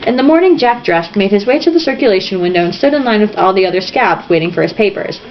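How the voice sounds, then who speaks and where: A woman's voice: medium pitch, animated, fast talker. A woman reading a novel aloud informally, theatrical and irreverent, with asides. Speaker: reader. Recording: dull lo-fi home recording, faint noise.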